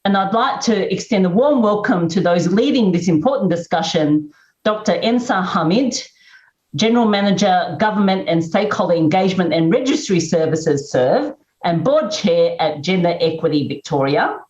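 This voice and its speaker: A woman hosting a panel discussion, with a measured, deliberate delivery that slows for emphasis. The recording is roomy, with a slightly muffled, dull sound. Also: mid-range voice, a little mellow. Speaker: panel moderator.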